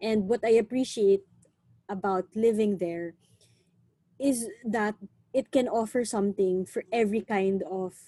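A young woman from the Philippines with a Filipino accent, chatting casually and lively, often saying "you know" and slipping in Tagalog words. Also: medium pitch, measured pace, dry recording. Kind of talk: casual conversation.

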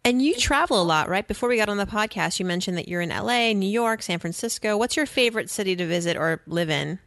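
A woman's voice: medium pitch, animated, quick pace, a bit bright, no echo. A woman hosting a podcast, asking questions in a chatty, curious way. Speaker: podcast host. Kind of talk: casual interview chat.

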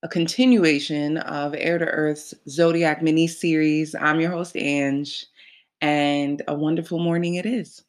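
Host, nasal and congested, unhurried, loose chatty delivery with frequent ums, mid pitch. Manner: relaxed, rambling with many fillers. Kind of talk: casual astrology talk.

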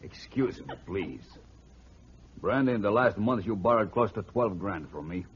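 A sneering loan shark from 1940s radio crime drama. His voice is deep and very raspy, full of menace. The old broadcast recording is muffled. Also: lively intonation, normal speed. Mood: irritated. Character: menacing, sneering moneylender demanding his money.

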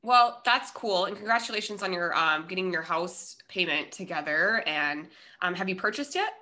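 A woman in her thirties chatting casually as a podcast host, telling things in an animated, unfiltered way. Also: on the low side, moderate pace. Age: 30s. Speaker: podcast host interviewing a guest. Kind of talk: casual chat.

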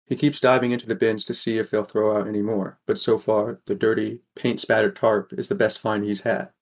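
Guy acting tired wry teen boy telling his own story, lowish voice, audio drama. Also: level tone, fairly quick. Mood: brooding, matter-of-fact. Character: weary, wry sixteen-year-old poor mining-town boy. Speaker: narrator and lead character of a fan audio drama. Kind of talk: first-person dramatized narration.

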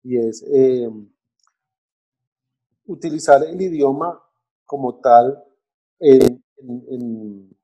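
Mellow-voiced man, chatty and hesitant. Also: mid-range voice, drawn-out words, pauses often, dull audio. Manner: hesitant, many restarts and fillers, lively when arguing. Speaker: co-host discussing language teaching. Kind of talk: casual podcast chat.

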